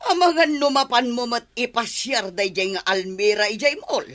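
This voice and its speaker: A woman's voice: a little high, normal speed, fairly bright tone, natural voice. A woman playing a suspicious, nagging mother who questions her son.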